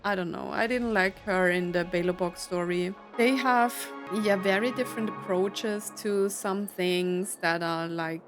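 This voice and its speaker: A woman's voice: medium pitch, a little slow, few pauses. A woman game streamer reacting casually, with an informal, musing way of talking. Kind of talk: casual let's-play reactions. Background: game music.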